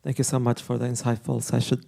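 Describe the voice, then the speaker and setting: Man with a dark, gravelly voice. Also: medium pitch, level tone, fast. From Yemen. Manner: polite, direct questioning. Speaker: audience member asking a question.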